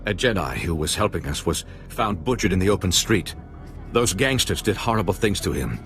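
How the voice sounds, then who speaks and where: An actor playing a formal, earnest and worried ambassador in a video game. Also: male voice, low voice, very animated, normal speed, a bit bright, faint music behind, exaggerated voice. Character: troubled, formal male ambassador. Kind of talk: video game character dialogue.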